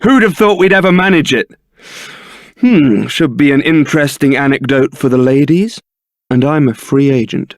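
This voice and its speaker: A man's voice: mid-range voice, moderate pace, no echo, lightly acted. A man playing a suave, sardonic young templar knight and roguish ladies' man in a fantasy video game, with a dry, theatrical delivery. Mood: wry, teasing.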